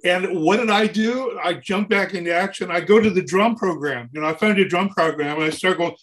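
High-voiced man telling animated anecdotes. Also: normal speed, few pauses. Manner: acts out dialogue and sounds while telling stories. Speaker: interview guest, touring keyboard player.

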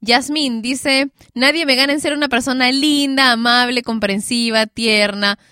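A woman's voice: sits high, steady tone, a little slow, sharp tone, clean tone, no echo, clean studio sound. A woman hosting radio, chatting casually and giggling as she reads out listener messages. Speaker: radio host. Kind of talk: casual live chat reading listener messages.

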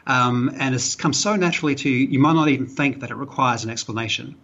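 A man's voice: mid-range voice, fast talker, fairly bright tone, dull audio. A man with a New Zealand accent, a guest on a podcast, explaining ideas thoughtfully with frequent fillers and restarts. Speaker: guest, author and psychology professor. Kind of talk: podcast interview.

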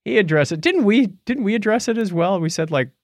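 A man's voice: sits high, animated, brisk, no echo, studio quality. A middle-aged man chatting casually and conversationally as a podcast co-host. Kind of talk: casual chat.

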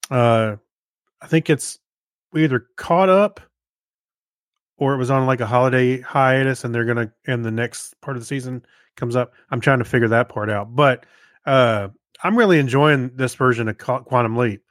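A man co-hosting a podcast, chatting casually in a rambling, halting way and often correcting himself. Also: mid-range voice, dry recording, studio quality. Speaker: podcast co-host. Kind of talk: casual chat.

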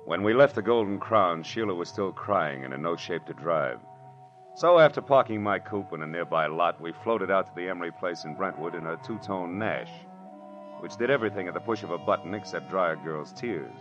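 An actor playing a wisecracking hard-boiled private detective with an American accent, wry and slangy, in 1940s radio drama style. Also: male voice, low voice, animated, brisk, a bit bright. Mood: wry narration. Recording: dull old-time radio recording, music behind.

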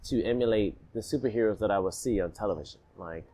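A man from Alabama who grew up partly in Jamaica, telling his story as a podcast guest in a reflective, animated way. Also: lowish voice, fast. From Alabama, raised partly in Jamaica. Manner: reflective, animated, rhythmic repetition. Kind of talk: casual storytelling in a podcast interview.

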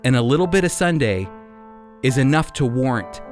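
A man's voice: medium pitch, animated, moderate pace, soft background music. A man giving expository Bible teaching in an explanatory way, putting rhetorical questions to the listener. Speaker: Bible teacher and program host.

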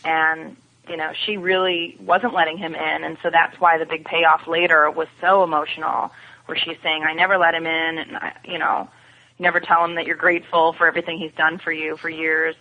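A woman's voice: deep voice, flat delivery, a little fast. A woman giving her opinions in a casual, conversational TV discussion as a podcast guest. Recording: dull phone-like lo-fi connection.

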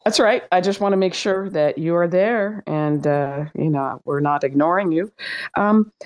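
A middle-aged woman hosting a casual online audio chat. She is lively and chatty and laughs often. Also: lowish voice. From Iran. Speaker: host.

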